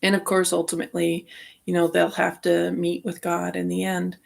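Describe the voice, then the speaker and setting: Woman, deep gravelly register, hesitant and meandering personal reflection, unhurried vlog delivery. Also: completely flat delivery. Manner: halting, trailing off mid-thought. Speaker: vlogger.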